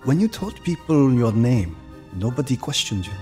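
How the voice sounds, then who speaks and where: An actor playing a wistful old man who recalls his childhood in a reflective, measured way for a radio drama. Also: medium pitch, big pitch swings, over loud music, natural voice. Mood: nostalgic. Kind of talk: radio drama acting, reminiscing.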